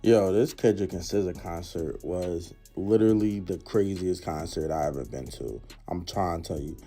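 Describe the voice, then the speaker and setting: A man's voice: sits low, normal speed, warm tone, soft background music. A man giving a laid-back, slangy voice-over recap of his night out. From Philadelphia, United States. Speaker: concertgoer narrating his vlog.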